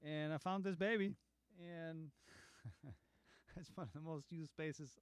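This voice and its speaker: A man, a bass player co-hosting a studio livestream, talking in an easygoing way with frequent pauses and restarts. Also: clean tone, dry recording. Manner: halting, many ums and restarts, easygoing. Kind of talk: casual livestream chat. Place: recording studio.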